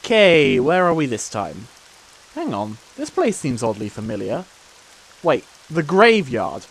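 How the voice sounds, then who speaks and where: A woman's voice: deep voice, sing-song, measured pace, slight background noise, rough recording. A woman voicing a brash, sarcastic, slightly clueless young male hero in a comedic video game parody dub. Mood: exasperated. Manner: sarcastic, blustering.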